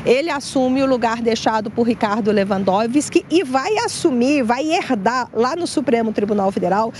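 Middle-aged female field reporter, high pitch, handheld mic outdoors, faint city noise. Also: moderate pace. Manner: steady informative delivery with occasional fillers. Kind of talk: live news report. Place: outdoors at a government palace plaza.

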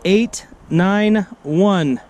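A middle-aged American man chatting casually as a ham radio operator outdoors, halting and laid-back, repeating his phrases. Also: sits high, a little mellow, slight background noise. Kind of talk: casual radio contact chat. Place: outdoors at a picnic table on a mountain.

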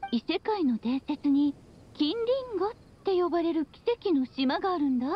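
High-voiced, doting anime mother. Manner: affectionate, breezy storytelling. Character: playful, doting long-lived mother adventuress. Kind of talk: Japanese anime game voice acting. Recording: slightly dull sound, soft music behind.

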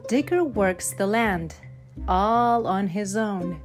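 A woman reading a rhyming children's picture book aloud with measured, deliberate phrasing. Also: medium pitch. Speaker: storybook narrator. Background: instrumental backing music.

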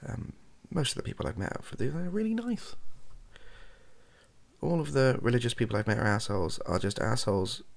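A young British man chatting softly and casually in an ASMR style, rambling and hesitant, with a gravelly, husky voice. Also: medium pitch, expressive, normal speed. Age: young adult. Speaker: content creator. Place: small cluttered bedroom, indoors.

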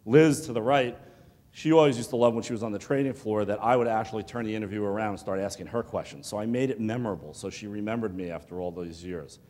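A middle-aged man giving a keynote speech to students, talking in an animated, pleading, conversational way, often checking in with "okay?". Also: lowish voice, fast. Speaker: keynote speaker. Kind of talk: motivational lecture to students. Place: large hotel ballroom with audience. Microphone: lapel mic. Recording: long hall reverb.

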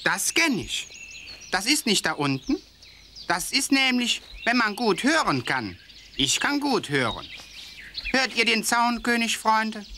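A friendly blind mole in a children's story. The voice is bright and sharp-edged, yet musing and gently explanatory. Birdsong and faint noise sit behind it. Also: male voice, high voice, very animated, unhurried, exaggerated voice. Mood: musing, enchanted. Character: friendly, philosophical blind old male mole. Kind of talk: children's animated audio story acting.

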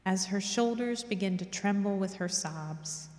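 A middle-aged woman giving a solemn, measured devotional reading at a lectern microphone in a church. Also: medium pitch, darkish tone, a little hiss or hum. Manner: measured, reverent. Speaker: reader at a church devotional service. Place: large church, indoors. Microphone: gooseneck lectern microphone. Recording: echoey church hall with long reverb.

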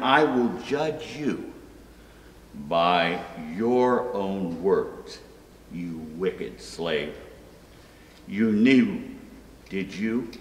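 An older man reads scripture aloud at a lectern in a church. His voice sits low and moves slowly and deliberately. A long, roomy reverb trails every phrase. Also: fairly bright tone. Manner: slow, deliberate reading with emphatic rises on quotes. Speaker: scripture reader.

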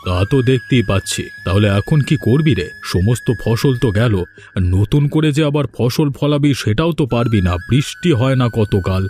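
A man voicing a well-off village gentleman in a cartoon, matter-of-fact and condescending, as he talks down to a labourer. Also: mid-range voice, expressive, moderate pace, soft background music, lightly acted. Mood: condescending, calm. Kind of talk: Bengali cartoon voice acting.

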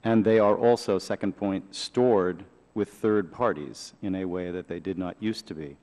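A man's voice: low-pitched, moderate pace, a little mellow. A middle-aged man testifying at a hearing, laying out his points in order into a table microphone. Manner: orderly, lays out points one by one. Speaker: hearing witness. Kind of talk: congressional testimony. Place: large hearing room. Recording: reverberant hearing room.

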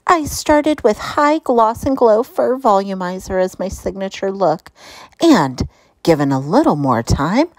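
Vain young storybook hamster girl, played by a woman, gushy and theatrical, hugely animated pitch swings. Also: measured pace, no echo. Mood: proud, gushing. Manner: gushing, dramatic. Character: excitable, vain young female hamster chasing glamour. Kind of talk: children's storybook character voice.

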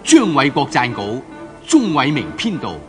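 Animated Hong Kong man announcing over loud music. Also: fairly high pitch, moderate pace. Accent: Hong Kong Cantonese. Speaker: announcer of radio drama credits. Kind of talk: formal radio programme announcement.

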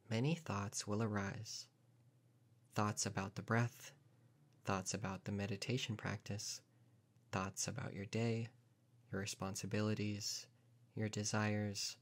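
A man guiding a meditation in a calm, unhurried, gently instructing manner. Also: lowish voice. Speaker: meditation teacher. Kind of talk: guided meditation narration.

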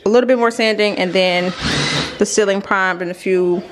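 Clear background noise surrounds a young woman's voice at an average female pitch. She talks casually and directly to her viewers, as a vlogger does when showing people around a house, picked up by a nearby camera indoors. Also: steady tone, moderate pace. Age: young adult. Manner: informal, addresses viewers directly. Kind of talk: casual vlog chat, narrating a house tour. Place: indoors, rooms of a house under renovation.

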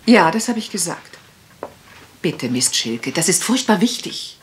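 A woman's voice: lowish voice, very animated, a little slow, a little hiss or hum. A woman playing a composed, direct detective's assistant in a radio crime drama, polite but pointed in her questioning. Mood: pointed, firm.

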